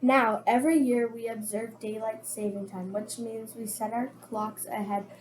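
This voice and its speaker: Female co-reader for a children's book: higher pitch, careful read-aloud delivery, faintly noisy recording. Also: steady tone, moderate pace, a little mellow. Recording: noticeable background noise.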